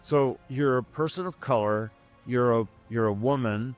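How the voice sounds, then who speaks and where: A man hosting an interview podcast, asking questions in a measured way and searching for his words. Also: medium pitch, soft background music. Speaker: podcast host.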